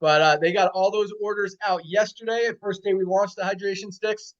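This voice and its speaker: A man's voice: high voice, steady tone, fairly quick, lo-fi sound. A man hosting a company call, enthusiastically reporting numbers and repeating them for emphasis. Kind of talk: upbeat company update on a video call.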